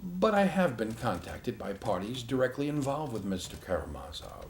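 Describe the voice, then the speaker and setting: An actor playing a formal, guarded male attorney giving a measured, carefully worded statement in a crime drama. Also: mid-range voice, sing-song, slight background noise. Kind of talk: acted formal statement to investigator.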